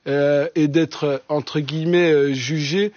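A man speaking candidly but haltingly as a guest on a radio talk show. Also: a little high, steady tone, a little mellow, no room sound. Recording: dull lo-fi radio studio sound.

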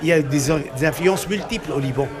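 A man talks up his restaurant's food in a street interview. His voice sits fairly high, and he pauses on fillers as he lists dishes. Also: over music. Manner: warm promotional, hesitant fillers. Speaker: restaurant worker.

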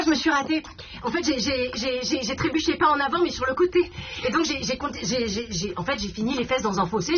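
An actress playing an insistent, animated woman war veteran in a naturalistic stage drama, stammering and repeating words in bursts. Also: fairly high pitch, highs cut off. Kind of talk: naturalistic stage acting, conversational.

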